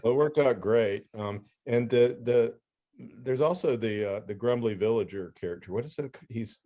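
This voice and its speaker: A man hosting a podcast in casual conversation, explaining his thoughts in a halting, thoughtful way. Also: mid-range voice, warm tone. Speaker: podcast host. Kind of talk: casual interview chat.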